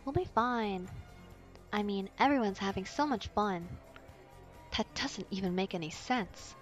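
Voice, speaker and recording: A woman voicing an excitable, carefree young princess in a visual novel, gushing and eager. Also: mid-range voice, measured pace, soft background music, lightly acted.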